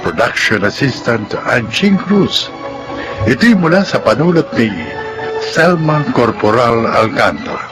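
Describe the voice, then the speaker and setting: A man's voice: medium pitch, low-quality recording. A man with a Filipino accent announcing a radio drama in formal, scripted narration.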